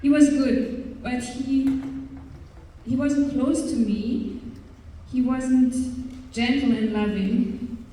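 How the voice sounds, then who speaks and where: A woman with a German accent, speaking in a mellow, somewhat high voice. She gives her testimony earnestly and hesitantly, pausing often. Her voice reaches the mic from a distance in a large hall with long reverb. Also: fairly even intonation. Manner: earnest, hesitant, with frequent pauses and fillers. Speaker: religious sister giving a testimony. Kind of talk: personal faith testimony to young people. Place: large hall at a youth event. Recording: echoey hall, voice from far off.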